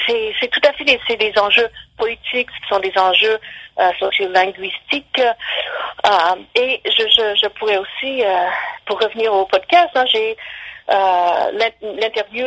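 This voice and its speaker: Woman, professor, guest on radio, husky voice, hesitant, many fillers, tinny phone line. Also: mid-range voice, fairly bright tone. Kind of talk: radio interview, explaining.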